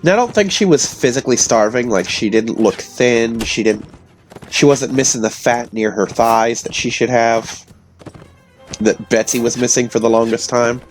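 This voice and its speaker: A man with a hoarse voice from illness, telling rambling stories casually to his audience. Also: mid-range voice, moderate pace, faint music behind. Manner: meandering, self-interrupting, chatty. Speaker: vlogger.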